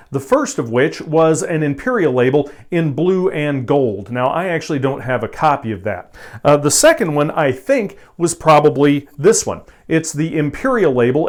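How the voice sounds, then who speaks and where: A man presenting as a video host in an informal explainer style, with a wry, conversational manner. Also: a little high. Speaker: video host and record collector.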